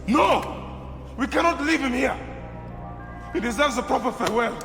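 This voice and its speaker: A man's voice: very high-pitched, expressive, normal speed. A man playing an anguished mourner in a video game, impassioned and heavy with grief. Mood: anguished protest. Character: anguished man mourning his slain closest friend. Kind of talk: dramatic video game acting. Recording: echoey long reverb, music behind.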